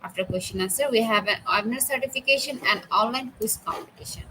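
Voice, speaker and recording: A woman's voice: a little high, fast talker, bright, forceful tone, a little hiss or hum, poor audio. A woman hosting a webinar, making formal, polite announcements. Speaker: webinar host.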